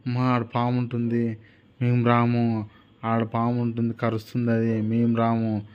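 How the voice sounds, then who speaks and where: A man's voice: mid-range voice, monotone, normal speed, clear, resonant tone, highs cut off, natural voice. A man voicing frightened villagers in a children's story, exclaiming urgent, repeated warnings in a Rayalaseema Telugu accent. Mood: fearful.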